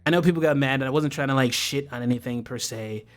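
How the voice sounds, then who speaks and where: A man's voice: mid-range voice, fast talker. An American man hosting a casual livestream chat, talking in an impassioned, slangy way and swearing freely. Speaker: livestream host.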